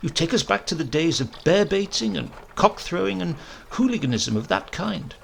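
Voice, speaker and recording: A man's voice: a little high, very animated, moderate pace, vocal fry, a little hiss or hum, pitched above the performer's own voice, heavily acted. A man voicing a prim, earnest young parson who talks in a fussy, indignant, preachy way.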